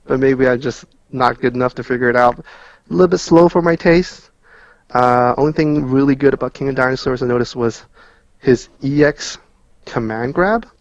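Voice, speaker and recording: A man's voice: mid-range voice, normal speed. A man chatting casually as a guest on a gaming podcast, explaining moves in dense player jargon. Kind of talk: casual game analysis chat. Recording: dull lo-fi audio in a roomy space.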